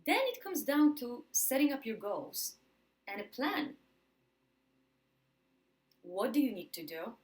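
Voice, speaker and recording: A woman with a Polish accent delivers a motivational talk to camera. Her delivery is emphatic, with words repeated for stress. She slows deliberately on the phrases that matter most. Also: fairly high pitch, big pitch swings. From Poland. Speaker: motivational speaker.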